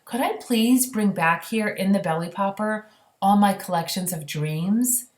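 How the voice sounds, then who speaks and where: A woman plays a gentle, simple-hearted giant in a children's storybook. The speech is unhurried and folksy with jumbled grammar, in an echoey room. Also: mid-range voice, pitched above the performer's own voice, lightly acted. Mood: earnest. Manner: unhurried, folksy, muddled words. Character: friendly old giant, calm, simple, mangled grammar. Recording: roomy, echoey sound.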